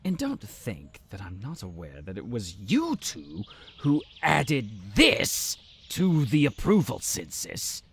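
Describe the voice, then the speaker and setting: An actor playing a pompous, conniving town advisor in a fantasy audio drama, haughty and mock-polite in a drawn-out delivery. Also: male voice, fairly low pitch, wildly swooping pitch, gravelly, fairly bright tone, a little hiss or hum, heavily acted. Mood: menacing. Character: pompous, conniving male town advisor, smug and easily enraged. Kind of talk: fantasy audio roleplay acting.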